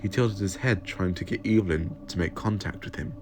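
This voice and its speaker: A man narrates an audio story from a script. His voice sits on the low side. Clear background noise is audible behind him. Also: brisk. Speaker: audiobook reader.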